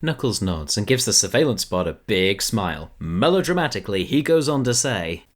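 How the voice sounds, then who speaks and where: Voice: mid-range voice, big pitch swings, moderate pace. A narrator reading scripted scene descriptions for a fan audio drama.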